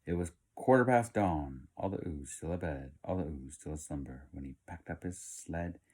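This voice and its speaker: Deep male voice, dad reading aloud, rhyming storybook narration, theatrical, emphatic. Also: moderate pace, darkish tone, husky. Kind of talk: expressive storybook narration in rhyme.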